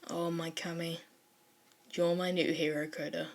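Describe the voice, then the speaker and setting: A woman voicing a laid-back, dry teenage boy with a casual, deadpan manner in an audio fan story. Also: on the low side, a little slow, voice pushed down. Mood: deadpan awe.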